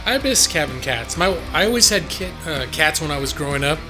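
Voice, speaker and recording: A slightly hoarse man streaming a game live, chatting casually and ramblingly to his viewers. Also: on the high side, very animated, fairly quick, music in the background. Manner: rambling, conversational, addresses chat directly. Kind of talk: casual chat with viewers while gaming. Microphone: close microphone on a boom arm.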